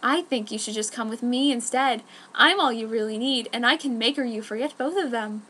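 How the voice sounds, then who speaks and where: A woman's voice: fairly high pitch, brisk, pushed and bright. A woman voicing a cruel, teasing alien male with fangs in a dramatic story reading, leering and menacing. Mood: coaxing.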